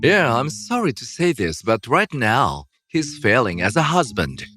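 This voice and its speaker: A man's voice: medium pitch, very animated, a little slow, bright, forceful tone, faint music behind. A man voicing an indignant, disappointed middle-aged father in a dubbed manga drama.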